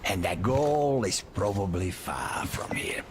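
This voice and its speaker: An actor playing a suave, menacing warlord baron in a video game, speaking in a deliberate, pompous and courteous way with a gravelly voice. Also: male voice, on the low side, sing-song, background noise. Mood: veiled threat. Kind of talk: dramatic video game cutscene acting.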